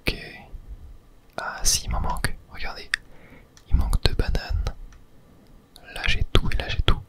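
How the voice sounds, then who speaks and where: A man whispering casual ASMR gameplay commentary close to the microphone, breathy and muttering to himself and viewers. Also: unhurried, fairly bright tone. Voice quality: whispered, breathy, mostly unvoiced. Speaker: game streamer, ASMR video host.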